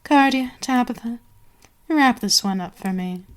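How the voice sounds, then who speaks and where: A woman playing a commanding vampire queen in an ASMR audio roleplay, speaking in a measured, formal way with soft whispery lapses. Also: fairly high pitch, clear, resonant tone, lightly acted. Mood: stern, insistent. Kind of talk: slow, breathy ASMR roleplay monologue.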